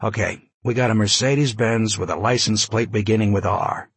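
Guy doing a blunt cussing prosecutor, impatient, gravelly husky voice. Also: lowish voice, normal speed, no echo. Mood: explaining.

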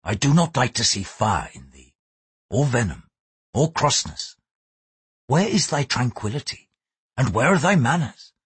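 A man reading aloud as a blunt English sea pilot, speaking in a measured, archaic thee-and-thou manner. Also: medium pitch, big pitch swings, husky, no room sound, full character voice. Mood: probing.

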